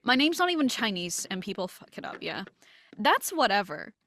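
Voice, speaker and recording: A woman with a medium-pitched voice talks as a guest in a casual interview. She is candid and pokes fun at herself, swearing now and then. The sound is dry, with no room echo. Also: sing-song, moderate pace, a bit bright. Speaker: interview guest, voice actor and illustrator.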